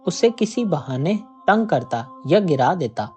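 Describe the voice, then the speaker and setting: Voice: medium pitch, big pitch swings, a little slow, mellow, soft background music. A narrator reading a scripted moral tale as a storyteller.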